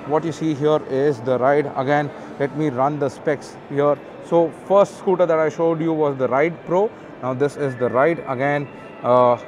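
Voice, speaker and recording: A man's voice: medium pitch, background noise. A man with an Indian English accent, an auto show presenter walking through a large indoor expo hall, filming on a handheld phone from behind the camera and casually reading out spec sheets with plenty of fillers. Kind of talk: off-camera walkaround narration. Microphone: handheld phone camera, speaker off-screen.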